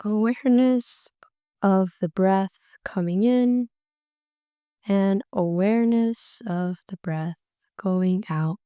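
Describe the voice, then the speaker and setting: A woman guides a meditation in an unhurried, gentle way, letting her phrases stretch out. Her voice is mellow and warm, sitting a little low, and comes through very smooth and clear. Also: no echo. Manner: slow, soft and soothing, drawn-out phrases.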